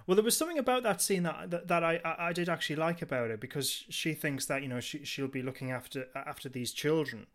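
Higher-voiced man, halting and chuckly. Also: fairly bright tone. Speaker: podcast co-host. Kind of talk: casual review chat.

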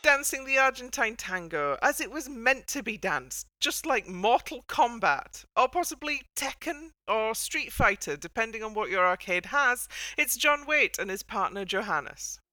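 Bright, wry woman podcast host. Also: a little high, animated, moderate pace, few pauses, no echo, rough recording. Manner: wry, wordy, mock-dramatic. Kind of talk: casual chat commentary.

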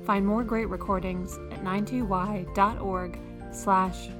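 A woman's voice: mid-range voice, fairly even intonation, slow pace. A woman delivers scripted podcast narration as an announcer. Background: music under the voice.

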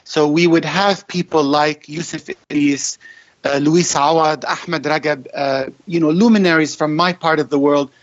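Reflective man, slightly high, lo-fi audio. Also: steady tone. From Egyptian-Lebanese family. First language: Arabic. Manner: measured, reflective, some hesitant restarts. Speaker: poet, interview guest. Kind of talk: poetry reading, then conversational answers. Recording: dull lo-fi audio.

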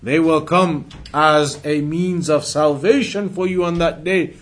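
A man's voice: on the high side, steady tone, a little slow. A man giving an Islamic lesson as a religious teacher, explaining emphatically and repeating and rephrasing his points. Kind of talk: Islamic lesson with recited Arabic quotations and English explanation.

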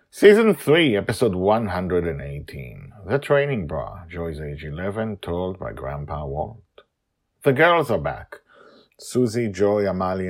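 A man's voice: low voice, gravelly, breathy edge. A man hosting a storytelling podcast, moving between casual talk and scripted narration with theatrical emphasis.

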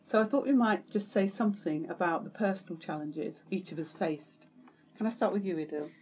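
A woman reading poetry aloud in a measured way and moderating a panel discussion. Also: lowish voice, darkish tone.

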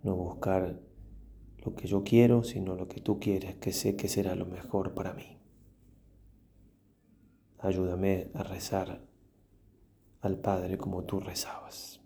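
Male priest, low and mellow, reverent and unhurried, for guided prayer meditation. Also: flat delivery, gravelly, a little hiss or hum. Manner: slow, reverent, with long pauses.

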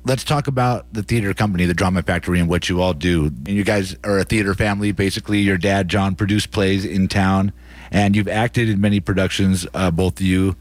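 Deep-voiced male radio interviewer, dry sound. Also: steady tone, brisk, no room sound. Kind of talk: informal interview questions.

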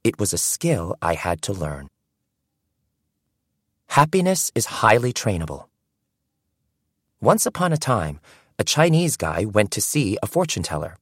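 Animated man narrating an audiobook. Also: on the low side, a little slow, pauses often, no echo, clean studio sound. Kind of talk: scripted first-person audiobook narration.

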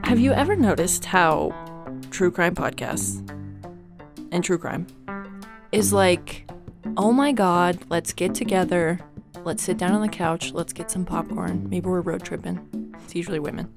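A woman's voice: on the low side, measured pace, creaky, over music. Jokey self-mocking young woman. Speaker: podcast co-host. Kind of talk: casual chat.

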